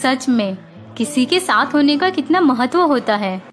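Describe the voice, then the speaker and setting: A meek young daughter-in-law. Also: female voice, sits high, big pitch swings, measured pace, soft background music. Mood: quiet. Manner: soft, quiet.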